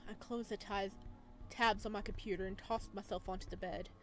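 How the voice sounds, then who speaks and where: A woman's voice: medium pitch, fairly even intonation, fairly quick, soft background music. A woman hosting a gaming video, reading a visual novel aloud and adding casual, slangy asides. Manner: informal, slangy, reacts in asides.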